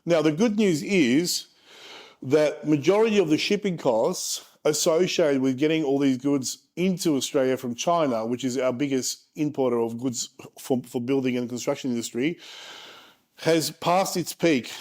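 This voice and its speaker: A man in his forties with a slightly raised pitch, talking frankly and casually through charts. He drops joking asides as he explains the numbers to investors. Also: moderate pace, a little mellow. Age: 40s. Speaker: property investment presenter. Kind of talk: casual presentation over charts.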